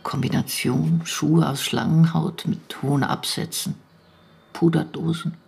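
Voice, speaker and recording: An actress playing a stern, bitter postwar investigator in a German radio drama, speaking in a controlled, cutting and deliberate way. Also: female voice, low voice, fairly even intonation, vocal fry, a little mellow, husky, exaggerated voice. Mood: grave, measured.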